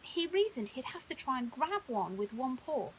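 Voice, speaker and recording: A woman reads a scripted children's bedtime story in an expressive, dramatic way. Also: high voice, moderate pace, low-quality recording. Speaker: storyteller, primary school teacher. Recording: audible hiss.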